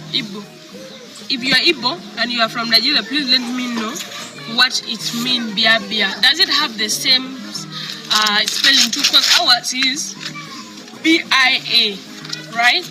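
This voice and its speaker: A woman's voice: fairly high pitch, unhurried, music in the background, low-quality recording. A woman from Sudan, chatting casually to her followers in a vlog. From South Sudan.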